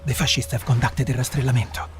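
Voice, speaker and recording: A man acting an ailing partisan guide in a video game cutscene, speaking gravely and haltingly. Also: medium pitch, level tone, music in the background, close to an everyday voice. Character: ailing Italian male partisan, world-weary guide.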